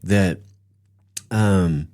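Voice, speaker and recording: A man with a very low, deep voice hosts a relaxed interview. He keeps the talk moving with short, encouraging affirmations and loose, wandering questions. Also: very slow. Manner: warmly encouraging, brief affirmations. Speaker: host. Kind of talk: casual interview.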